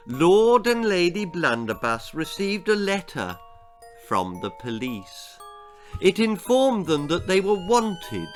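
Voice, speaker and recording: A man's voice: fairly high pitch, fairly bright tone. An English man reading his own comic children's story aloud as a dramatic narrator who relishes each detail.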